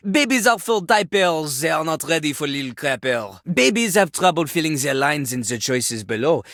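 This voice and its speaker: A vain, theatrical French rapper for a video game. His voice is high and bright, leaping dramatically in pitch. The French accent is thick and the delivery is pompous and exaggerated, full of third-person boasting. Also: very animated, moderate pace, dry recording, clean studio sound. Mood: mocking. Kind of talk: exaggerated cartoonish video game character acting.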